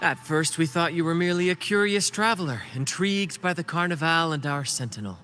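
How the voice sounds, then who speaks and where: An actor playing a well-spoken young man who leads a travelling troupe in a video game, speaking in a measured, theatrical, articulate way. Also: a little high, pushed and bright. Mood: warm resolve. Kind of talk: scripted video game story dialogue. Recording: game music behind the voice. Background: music.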